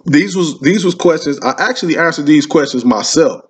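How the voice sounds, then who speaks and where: A man's voice: a little high, fairly quick, breathy edge. A man hosting a casual interview, speaking informally and repeating his phrases for emphasis. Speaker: podcast host interviewing a caller.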